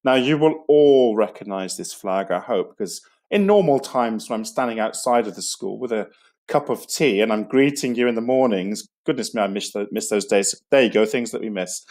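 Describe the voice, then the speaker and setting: A man's voice: fairly low pitch, normal speed, darkish tone. A middle-aged man, a school leader, giving an enthusiastic, digressive online assembly talk to students.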